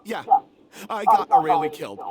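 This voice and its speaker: A man's voice: on the high side, very animated, normal speed, heavy background noise. Guilt-ridden young man, swooping voice. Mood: guilty. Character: guilt-ridden, cowardly young male stage performer confessing. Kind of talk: video game voice acting.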